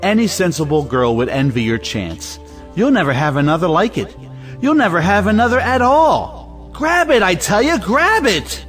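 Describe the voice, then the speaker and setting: Domineering moneyed brother, male actor, period audio play. Blustering and highly animated in pitch. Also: brisk, faint music behind. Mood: urgent, bullying. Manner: exasperated, domineering, emphatic. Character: blustering, bullying wealthy older brother. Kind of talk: theatrical audio drama acting of a 1917 play.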